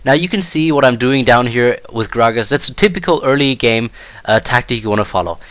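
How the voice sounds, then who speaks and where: A man's voice: medium pitch, animated, brisk, fairly bright tone, no room sound. Young man with German first language. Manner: hesitant, many fillers and restarts. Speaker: gameplay commentator. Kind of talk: casual game tutorial commentary.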